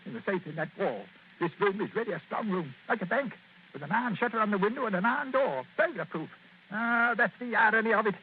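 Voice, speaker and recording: A fretful, miserly old husband. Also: male voice, high voice, expressive, fast talker, slight background noise. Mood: aggrieved. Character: miserly bitter old male retired colourman. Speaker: client Mister Amberley. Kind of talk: 1940s radio acting. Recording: tinny old radio broadcast.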